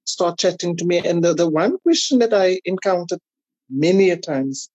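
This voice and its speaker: A South African woman tells personal stories in a relaxed podcast interview. Her voice is mellow and husky, and she acts out remembered remarks as she goes. The recording is dry and somewhat dull, with little high-end detail. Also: normal speed, no room sound. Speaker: podcast guest. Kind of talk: casual interview chat, telling personal stories.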